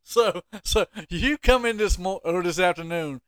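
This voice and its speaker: A man hosts a relaxed sports podcast. His voice sits a little high and sounds clean and smooth, in a dry recording. He talks casually and likes to needle whoever he is chatting with. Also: measured pace, dry recording. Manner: teasing, ribbing. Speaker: podcast host.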